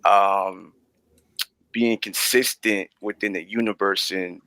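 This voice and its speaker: A man's voice: on the low side, fairly even intonation, slow pace, pushed and bright, dry recording. A man speaking as an interview guest, explaining his creative work in a casual, rambling, hesitant way.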